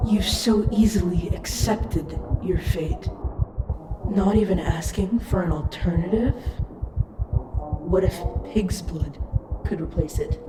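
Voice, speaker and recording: Slow, echoing, taunting supernatural captor, performed by an actor. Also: medium pitch, natural voice. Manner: slow, mocking, theatrical, savoring words. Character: taunting disembodied supernatural captor, ageless, cruelly curious. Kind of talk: audio roleplay, addressed to listener. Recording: echoey, distant, with humming noise.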